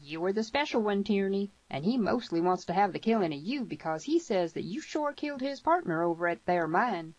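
A woman plays a tough old cowboy in a western audiobook, solemn and blunt. The folksy speech is emphatic and pitched up. The recording is dry and dull. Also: animated, a little fast, hardly any pauses, darkish tone, dry recording, lightly acted. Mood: grave warning. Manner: folksy rustic grammar, emphatic. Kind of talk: western audiobook character reading.

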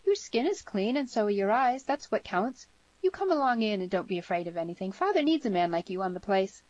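A woman's voice: medium pitch, big pitch swings, fairly quick, a little mellow, pitched above the performer's own voice, close to an everyday voice. A woman voicing a bold, spirited young ranch girl in a western story, speaking emphatically. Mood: urging. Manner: emphatic, exclaiming. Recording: dull, roomy sound.

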